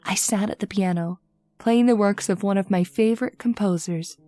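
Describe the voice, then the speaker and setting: A woman's voice: mid-range voice, moderate pace, dark tone, soft background music, close to an everyday voice. A woman playing a lonely, romantic twenty-one-year-old daughter in an old-time radio drama, with a wistful, formal manner. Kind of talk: old-time radio horror drama acting, first-person narration.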